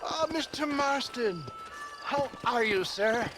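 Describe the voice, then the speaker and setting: A man's voice: very high-pitched, animated, takes it slowly, music in the background, heavily acted, low-quality recording. A man playing a genial, pompous old snake-oil salesman in a western video game, talking in a wordy, theatrical way. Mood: genial, wry. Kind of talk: video game cutscene acting.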